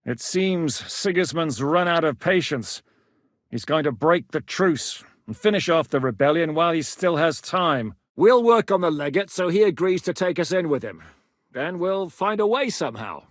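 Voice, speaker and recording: An actor playing a shrewd, resolute medieval rebel captain who speaks plainly and with authority in a video game. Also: male voice, fairly high pitch, lively intonation, normal speed, dry recording, studio quality, natural voice. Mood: grave, urgent, then steady, commanding. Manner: authoritative, decisive, plain-spoken. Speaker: military leader planning operations. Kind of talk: video game dialogue acting.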